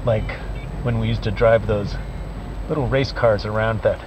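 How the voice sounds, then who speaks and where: An actor playing a weary, resigned man recording a last message in an audio drama, halting and wry. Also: fairly low pitch, steady tone, natural voice. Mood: weary, uneasy. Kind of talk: found-footage audio drama monologue. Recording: faint background noise.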